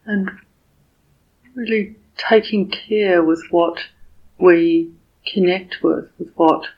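Woman, meditation teacher, very unhurried, even and flat intonation, soft husky voice, gentle pauses, guided meditation. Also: on the low side, muffled recording. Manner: gentle, deliberate, with long pauses between short phrases.

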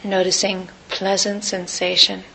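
A woman leads a guided meditation at a middling pitch. She speaks as a teacher, in short, unhurried phrases. Long pauses separate her instructions. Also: little pitch movement, vocal fry, bright, forceful tone, slight background noise, rough recording.

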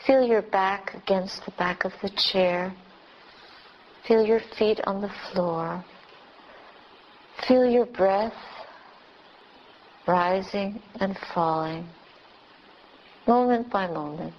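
Female meditation teacher, very slow delivery in short spaced phrases, captured on a muffled recording with noticeable background noise. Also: mid-range voice. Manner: unhurried short phrases with long pauses. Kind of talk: guided meditation.

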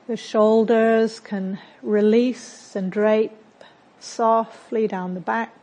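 A woman leads a guided meditation at a very slow pace. Her voice sits a little on the high side. She speaks calmly and gently, in short phrases with long, unhurried pauses. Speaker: meditation teacher. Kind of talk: guided meditation, body scan.

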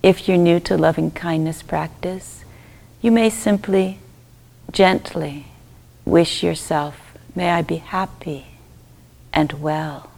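A woman leading a guided meditation as a teacher, speaking gently and softly in short phrases with long pauses and breathy endings. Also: fairly low pitch, steady tone. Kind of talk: guided loving-kindness meditation.

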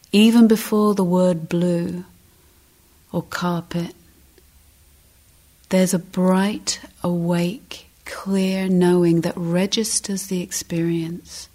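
A woman meditation teacher giving guided instruction, speaking gently and deliberately in short phrases with long pauses. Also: fairly low pitch, little pitch movement.

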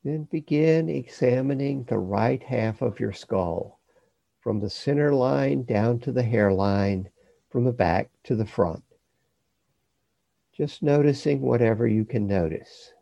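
A man teaching a guided body scan meditation, giving measured instructions in short phrases with pauses between them. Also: medium pitch, expressive, creaky, mellow, no echo, muffled recording.

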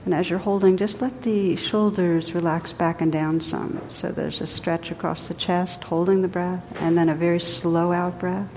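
A woman teaching a guided meditation, speaking gently and unhurriedly in short phrases with pauses between them. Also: steady tone. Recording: echoey hall, rumbly background noise.

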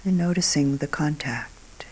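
Woman leading a guided meditation, really slow and calm, soft kinda breathy voice, lots of pauses. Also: low voice, a little hiss or hum, rough recording. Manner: calm, unhurried, short phrases with long pauses. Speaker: meditation teacher.

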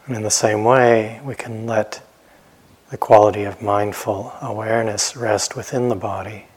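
Man, meditation teacher, rather low pitch, breathy husky tone, gentle, very slow phrasing, long pauses. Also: fairly even intonation. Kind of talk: guided meditation instruction.

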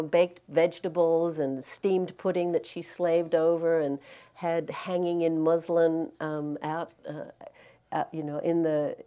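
A woman from Australia with a softened Australian accent, giving a gentle, reflective dharma talk as a meditation teacher, with frequent ums and restarts. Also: sits low. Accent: Australian, mixed with American. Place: meditation hall in California.

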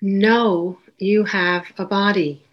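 A woman leading a guided meditation as a teacher, giving gentle, unhurried instructions with long pauses between phrases. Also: mid-range voice, fairly even intonation, highs cut off.

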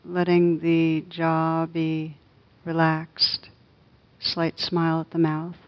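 Female meditation teacher, lowish voice, very slow and gentle delivery with lots of space between phrases, slightly muffled recording. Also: monotone. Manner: gentle, unhurried, long pauses between soft phrases. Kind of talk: guided meditation.

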